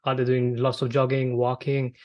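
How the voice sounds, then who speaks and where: A man from Bangladesh with as his hosts a casual conversation. His mid-pitched voice moves very little in melody. He speaks haltingly, often circling back over his words. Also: completely flat delivery, a little mellow, highs cut off. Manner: halting, repetitive phrasing with frequent filler "like". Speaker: podcast host. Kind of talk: casual interview chat.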